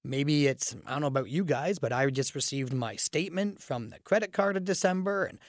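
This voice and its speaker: A man with a raspy voice from a cold hosts a casual radio talk show, chatting with fillers and restarts. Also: a little high, fairly quick, no room sound, clean studio sound. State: fighting a cold, groggy. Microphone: studio microphone.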